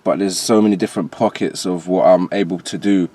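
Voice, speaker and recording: Deep-voiced man with transatlantic accent. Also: steady tone, brisk, warm tone. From Jamaica, later moved to England. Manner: conversational, slangy. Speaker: guest, rapper and producer. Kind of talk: casual interview talk.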